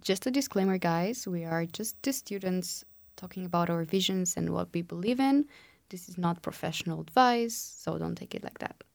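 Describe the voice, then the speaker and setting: A woman's voice: lowish voice, moderate pace, gravelly, dry recording. A woman in her twenties co-hosting a student podcast, speaking plainly and directly. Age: 20s. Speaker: podcast co-host. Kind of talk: casual podcast talk.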